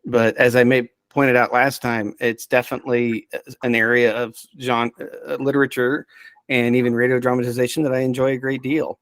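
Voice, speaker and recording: Casting: man, podcast expert, casual and rambling, hesitant phrasing, medium pitch, dry sound. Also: level tone, fairly quick, fairly bright tone, no room sound. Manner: hesitant, rambling, self-interrupting. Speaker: podcast subject-matter expert. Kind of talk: casual chat.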